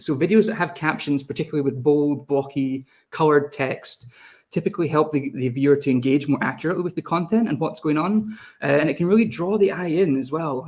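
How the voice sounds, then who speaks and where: A young adult man with a Scottish accent, a video team lead talking quickly as he presents a live online webinar and speaks to viewers in the chat. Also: on the high side, a little mellow.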